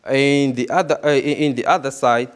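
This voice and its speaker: A man giving a conference presentation, halting and repeating words often. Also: medium pitch, steady tone, clean tone. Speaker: conference speaker.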